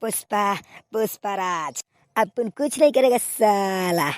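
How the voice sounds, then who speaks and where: A woman voicing a stubborn, swaggering male rebel in a cartoon film parody, with drawn-out, defiant catchphrase delivery. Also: medium pitch, darkish tone, no echo, clean studio sound, natural voice.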